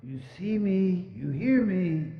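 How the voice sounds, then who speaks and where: Solemn male phantom, acted by a man, declamatory and unhurried, stage delivery, muffled with long hall reverb. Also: high voice, animated, full character voice. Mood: solemn, doomful. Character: solemn, mournful male ghost of dead business partner. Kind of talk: dramatic stage reading.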